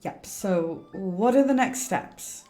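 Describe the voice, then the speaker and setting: A woman's voice: mid-range voice, animated, slow, warm tone, soft background music. A woman giving casual live game commentary, chatty and teasing as she talks back to the characters. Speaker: game streamer.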